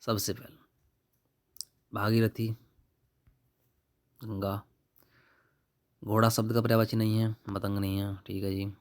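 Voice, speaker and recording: A man's voice: fairly low pitch, flat delivery, a little slow, pauses often. A young man, informally going through exam questions and answers as a tutor in an offhand, colloquial manner. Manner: offhand, colloquial, addresses viewers as brother. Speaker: exam-prep YouTube tutor.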